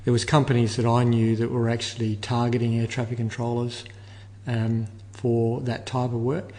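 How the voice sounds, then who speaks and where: An older man giving measured, explanatory answers as an interview guest, repeating words as he thinks. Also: medium pitch, completely flat delivery, mellow. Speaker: interview guest, air traffic control manager. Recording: long reverberant room echo.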